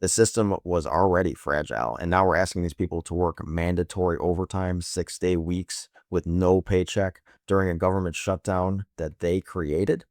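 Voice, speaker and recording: Deep-voiced sarcastic male political commentator. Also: fairly even intonation, moderate pace, few pauses, a little mellow, dry recording, clean studio sound. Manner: sarcastic, emphatic, rhetorical questions. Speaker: host of a political news commentary show.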